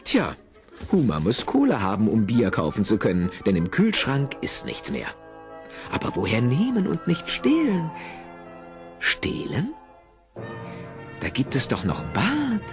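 Male storyteller for comic radio play; very expressive, teasing asides, music underneath. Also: mid-range voice, unhurried, long pauses. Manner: animated, wry, rhetorical questions. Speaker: narrator. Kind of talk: scripted audio drama narration for a comedy.

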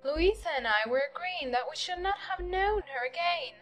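A woman's voice: extremely high pitch, expressive, moderate pace, fairly bright tone, faint music behind, voice pushed up. A woman voicing a jealous young society lady who speaks with sneering, mock-polite civility.

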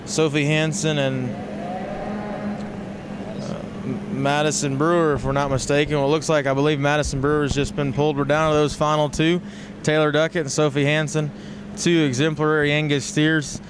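An American man hosting live livestock show commentary in a measured, matter-of-fact way. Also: on the high side, level tone. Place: large indoor show arena. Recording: noisy livestock arena ambience.

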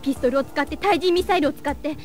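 A woman playing a defiant, sharp-tongued high school girl, indignant and forthright, in an audio drama. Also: fast talker, faint music behind, natural voice.

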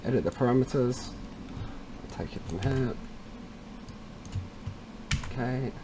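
A man presenting a casual step-by-step software tutorial in an informal way, addressing viewers as guys. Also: medium pitch, animated, slow, background noise. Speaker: tutorial presenter, installer trade.

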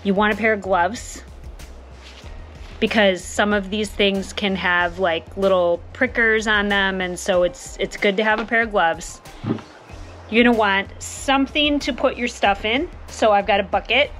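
A woman's voice: mid-range voice, a little slow, fairly bright tone, soft background music. A woman in her thirties talking casually to her phone camera on an outdoor porch, enthusiastic and chatty, repeating words for emphasis. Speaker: homesteading vlogger. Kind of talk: casual vlog talk to camera. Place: covered back porch of a house, outdoors. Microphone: phone camera at arm's length.

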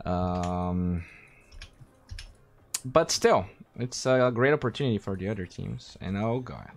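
A young man from Brazil, chatting casually while streaming a game, thanking viewers and thinking out loud. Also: fairly low pitch. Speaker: streamer and caster. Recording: faint music behind, weak mic.

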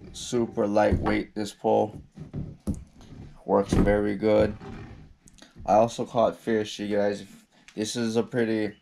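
A young man casually walking through his fishing gear at a table indoors, laid-back and slow, with frequent ums and restarts, picked up by an action camera. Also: fairly low pitch, creaky, background noise. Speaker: fishing vlogger.

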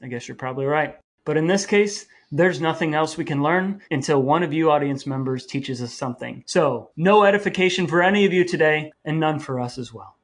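A man's voice: on the high side, a little fast, few pauses. A man co-hosting a podcast in a chatty style, with a wry, mock-formal manner. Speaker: podcast co-host.

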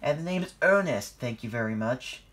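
A reader doing a gruff, touchy diesel engine. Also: medium pitch, expressive, a little fast, natural voice. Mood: offended. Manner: shouting, growling.

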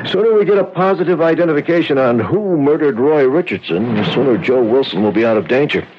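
An actor playing a folksy, matter-of-fact police sergeant in a 1970s radio drama. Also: male voice, mid-range voice, animated, quick pace, slight background noise, close to an everyday voice. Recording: dull vintage radio recording.

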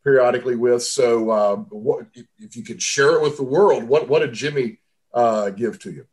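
Male podcast host, hesitant questioner, mid-pitched voice. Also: a little mellow. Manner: halting, many uh fillers. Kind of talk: casual interview questions.

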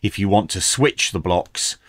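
A man's voice: deep voice, unhurried, no echo, studio quality. A middle-aged man giving a methodical, explanatory product review in an instructional style. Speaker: radio reviewer, presenter.

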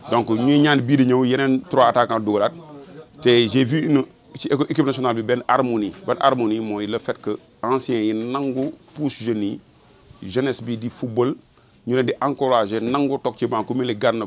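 Young male fan, mid pitch, earnest, indoors on a handheld mic. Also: steady tone, brisk. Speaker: football fan interviewed. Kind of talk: street interview. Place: small shop indoors.

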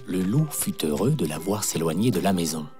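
A man narrating a scripted fairy tale for children in an expressive storytelling manner. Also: low voice, normal speed. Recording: music playing behind. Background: background music.

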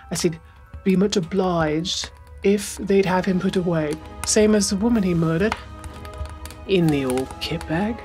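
An apologetic, deadpan manservant from a spooky storybook, acted by a woman. He is deferential and matter-of-fact, with faint music underneath. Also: lowish voice, close to an everyday voice. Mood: confiding, matter-of-fact.

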